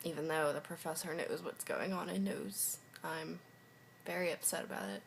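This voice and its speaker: A young woman recording a personal video diary, talking frankly in a halting, hesitant way and sometimes dropping to a whisper. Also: low-pitched, creaky, slight background noise. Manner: frank and confessional.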